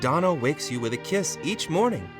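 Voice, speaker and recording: Animated male storyteller over music. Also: fairly high pitch, normal speed. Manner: theatrical, suspenseful. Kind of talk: dramatic second-person horror comic narration. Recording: music behind the voice.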